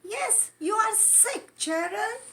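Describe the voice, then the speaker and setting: Woman voicing a high, matter-of-fact cat doctor. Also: animated, takes it slowly, gravelly, pushed and bright, heavily acted. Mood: reassuring. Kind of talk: children's storybook read-aloud.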